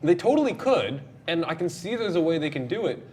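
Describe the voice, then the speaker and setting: A man's voice: a little high, very animated, fairly quick, darkish tone. A man in a casual group discussion, talking in an opinionated, emphatic way. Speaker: show panelist. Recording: noticeable background room noise.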